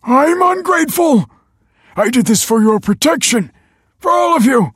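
An old father, paranoid and agitated, in an audiobook. The voice is pushed very high and swings widely, with a rough husky edge. He sounds desperate and indignant, straining to be believed. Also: sing-song, moderate pace, fairly bright tone, dry recording, clean studio sound, full character voice. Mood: indignant, angry.

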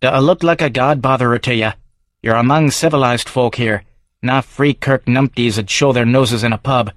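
A man's voice: medium pitch, moderate pace, no echo, studio quality, lightly acted. A man doing a surly Scottish ex-con. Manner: blunt, defensive, broad dialect. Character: gruff Scottish male ex-convict drinker, surly.

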